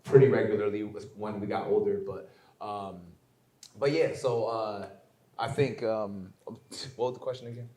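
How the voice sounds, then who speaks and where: A man's voice: lowish voice, normal speed, mellow. A man with an American accent telling his story casually as a guest, often checking in with short tag questions. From born in Germany, grew up in upstate New York. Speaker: interview guest, poet. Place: indoor venue live event. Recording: roomy echo of a live venue.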